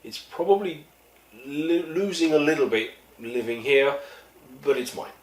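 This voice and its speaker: A man's voice: medium pitch, expressive, a little slow, a little hiss or hum. Wry East London man, roomy sound. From East London, England. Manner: wry, self-deprecating, with quoted voices. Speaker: vlogger telling stories. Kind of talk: casual storytelling monologue. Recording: echoey room, mic at a distance.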